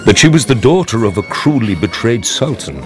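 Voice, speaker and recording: An actor playing a middle-aged count in a radio drama, brooding and vengeful beneath a measured, formal, courtly manner. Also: male voice, medium pitch, big pitch swings, over music, natural voice. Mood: courtly, guarded. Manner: measured, formal, quietly intense.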